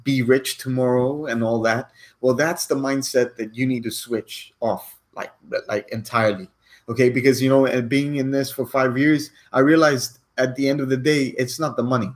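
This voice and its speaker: Guy in his 40s, rambling earnest coach talk to camera, keeps saying okay. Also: mid-range voice. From Cyprus. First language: Greek. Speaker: online business coach.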